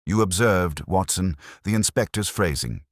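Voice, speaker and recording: A man reading the part of a gentleman detective from a Victorian audiobook tale. His voice is very deep and dry, with expressive rises and falls. He lectures with cool, formal precision. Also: normal speed, no room sound. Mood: probing, measured. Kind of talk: audiobook character dialogue.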